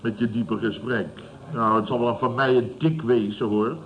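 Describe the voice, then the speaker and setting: A man's voice: mid-range voice, steady tone, normal speed, natural voice. An actor in his fifties playing a self-satisfied, blustering building boss in a vintage radio comedy, chuckling and repeating himself. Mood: smug, amused. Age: 50s. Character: gruff, self-satisfied older male building boss telling tales. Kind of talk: 1970s Dutch radio comedy acting. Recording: muffled, noisy vintage radio recording.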